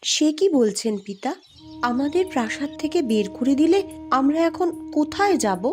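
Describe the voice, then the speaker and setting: A woman's voice: high voice, big pitch swings, normal speed, a little mellow. A woman playing a distressed young princess in a fairy-tale cartoon. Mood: dismayed. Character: distressed young princess, questioning her father.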